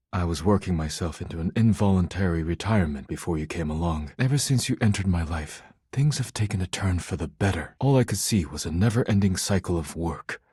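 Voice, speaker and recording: A man voicing an earnest, proud poet in a video game, speaking in formal, solemn, stilted diction with a husky voice. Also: sits low, a little mellow, no echo, studio quality, heavily acted. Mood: tender, warm. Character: earnest, proud male poet devoted to dishware, formal and intense. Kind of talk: video game character voice acting.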